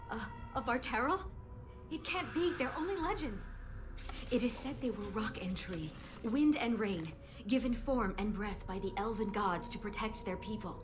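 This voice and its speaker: A woman's voice: on the high side, animated, moderate pace, music in the background, natural voice. A woman playing an earnest, scholarly companion in a video game. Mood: awed.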